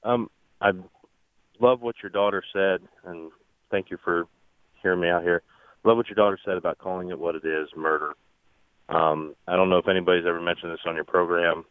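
Low, even-voiced man on phone line. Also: brisk, clean tone, dry recording. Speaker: phone-in caller. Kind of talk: casual radio call-in remarks. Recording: tinny narrow-band phone line.

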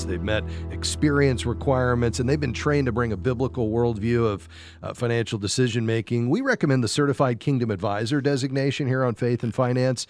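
A man's voice: mid-range voice, no echo, clean studio sound. A man hosting a call-in advice show in a conversational style. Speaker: radio show host.

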